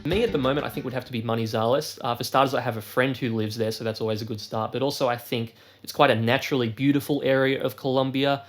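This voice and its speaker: A man from Australia speaks quickly and casually, weighing his words. His pitch sits in the middle of the range. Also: fairly even intonation, faint music behind. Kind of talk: casual personal account.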